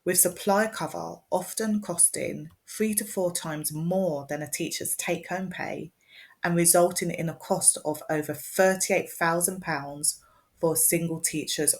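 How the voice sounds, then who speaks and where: A woman narrates a prepared presentation as a speech and language therapist. Her voice sits low, and she takes her time. Her delivery is measured and explanatory, set out point by point. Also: gravelly.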